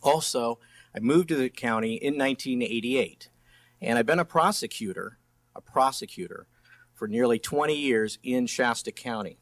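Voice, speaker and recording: A man's voice: mid-range voice, moderate pace. A middle-aged man speaking as a candidate and district attorney in a debate, plain-spoken and often restarting his phrases. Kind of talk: political debate speech.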